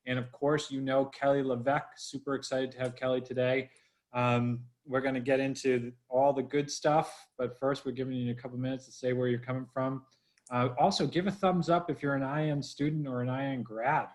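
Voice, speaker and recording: A man from Boston hosts a live online interview, his voice sitting at an ordinary middle pitch. He talks in a chatty, upbeat way and speaks straight to the viewers. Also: steady tone, a little fast. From Boston, USA. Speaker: host. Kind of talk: livestream interview.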